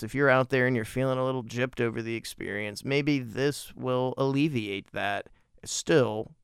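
A man hosting a podcast, giving casual solo film commentary with emphatic, drawn-out phrasing and a gravelly voice. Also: medium pitch, dry recording, studio quality. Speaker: podcast host.

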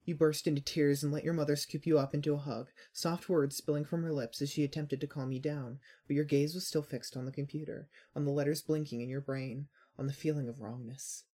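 A man narrating a dramatic second-person story in a solemn, deliberate, emphatic way. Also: fairly high pitch, fairly even intonation, warm tone.

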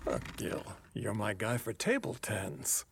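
Folksy ad boss, gravelly, creaky, pompous, dismissive, swooping salesman delivery, audio drama. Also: on the low side, very animated, takes it slowly, fairly bright tone, a little hiss or hum, full character voice. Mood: dismissive, preachy.

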